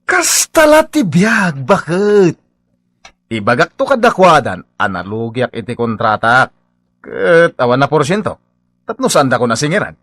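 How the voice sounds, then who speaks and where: An actor playing a crafty, greedy married building contractor in a comedy radio drama, with a glib, wheedling manner. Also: male voice, on the high side, big pitch swings, a little slow, fairly bright tone, dry recording, heavily acted. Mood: unrepentant.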